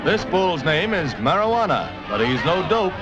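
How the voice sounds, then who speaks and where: 1950s newsreel announcer, lively man over loud music. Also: high-pitched, normal speed. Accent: American. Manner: jaunty, emphatic announcer delivery. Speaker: newsreel narrator. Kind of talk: 1950s newsreel narration, punning scripted commentary. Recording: dull vintage newsreel soundtrack, loud music behind.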